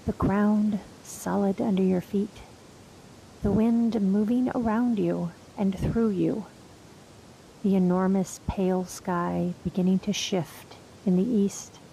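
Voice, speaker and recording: Woman meditation guide, slow and soft-spoken, mellow tone with a little vocal fry, long pauses. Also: medium pitch, fairly even intonation, a little hiss or hum, low-quality recording.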